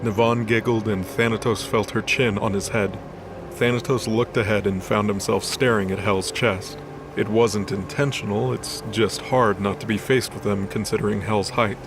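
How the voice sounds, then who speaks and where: A man's voice: lowish voice, fairly even intonation, moderate pace, music in the background. A man reading scripted story narration for an audio drama.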